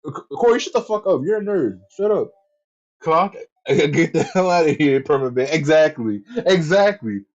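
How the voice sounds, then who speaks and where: A male streamer talking off the cuff to his chat, swearing freely and tripping over his own words as he loops back on phrases. His voice sits a little higher than most men's. The stream audio is dull and lo-fi. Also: expressive, normal speed. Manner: rambling, profane, repeats himself. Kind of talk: casual livestream chat.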